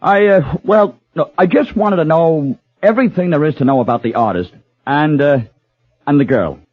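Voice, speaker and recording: Hesitant husband, dull radio sound. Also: male voice, fairly high pitch, lively intonation, normal speed, no room sound. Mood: hesitant, eager. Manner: hesitant, stammering. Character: wistful middle-class husband obsessed with a painting. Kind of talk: radio drama acting. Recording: dull lo-fi radio recording, music behind.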